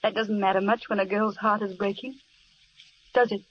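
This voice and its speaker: An actress playing a poised, gently coy young army nurse in a vintage radio drama. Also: female voice, medium pitch, steady tone, moderate pace, natural voice. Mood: wistful, coy. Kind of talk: 1930s-1940s radio drama acting. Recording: muffled, hissy old radio broadcast.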